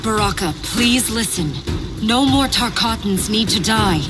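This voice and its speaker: Resolute warrior princess, played by an actress. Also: female voice, a little high, a little slow, loud music behind the voice, lightly acted. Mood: urgent. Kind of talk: video game cinematic acting.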